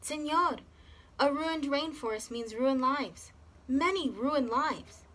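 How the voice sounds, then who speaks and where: A woman voicing a small, earnest storybook tree frog with a squeaky voice. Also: slow, pushed and bright, full character voice. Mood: pleading. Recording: clear background noise.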